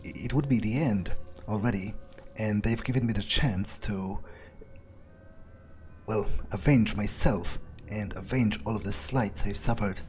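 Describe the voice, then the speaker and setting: A man voicing a cynical, vengeful vampire in a game roleplay, thinking aloud in a deliberate, halting murmur. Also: on the low side, a little mellow, soft background music, lightly acted. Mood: weary, reflective. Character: brooding, cynical, vengeful male vampire, player's character.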